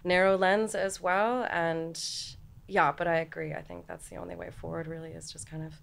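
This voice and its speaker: A woman's voice: fairly low pitch, expressive, moderate pace, vocal fry. An American woman talking as a guest in a casual interview, earnest and hopeful, often laughing lightly. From United States.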